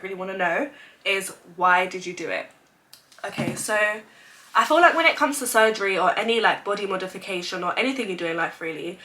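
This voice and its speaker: A woman's voice: medium pitch, pushed and bright. A woman in her twenties, congested from a cold, chatting openly in a casual sit-down vlog with lots of "like" fillers. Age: 20s. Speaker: vlogger. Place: indoors, seated at home. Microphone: camera mic, sitting in front of it.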